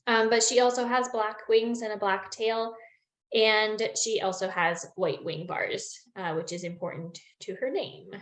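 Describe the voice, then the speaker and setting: A young woman explains bird identification over a video call, friendly and full of little ums, her voice a touch worn. Her pitch sits in an ordinary middle range. The sound has a noticeably echoey room around it. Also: steady tone, unhurried, a bit bright. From Newfoundland. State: losing her voice, clearing throat. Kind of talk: online webinar lesson.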